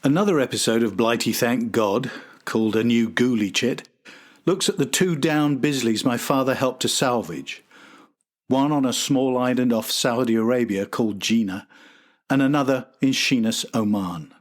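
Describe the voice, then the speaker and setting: Guy narrating a history podcast, scripted documentary style, mid-range voice. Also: medium pitch.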